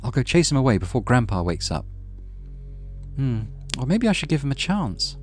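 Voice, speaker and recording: A man voicing a gentle, chatty teenage girl who speaks with pity in a visual novel. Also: medium pitch, very animated, normal speed, soft background music, voice pushed up. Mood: chatty, teasing.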